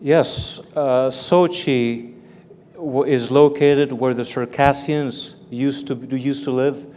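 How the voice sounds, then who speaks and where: A man from Belgium, a native French speaker, answering interview questions as an author and professor, careful and measured, repeating words as he explains. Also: medium pitch, steady tone, darkish tone. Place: large hall with live audience. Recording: long hall reverb with faint room noise.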